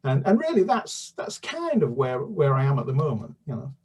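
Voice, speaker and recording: Hesitant older man, husky and distant. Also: medium pitch, wildly swooping pitch, moderate pace, a little mellow. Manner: hesitant, many fillers and restarts. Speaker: children's author. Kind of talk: casual interview chat.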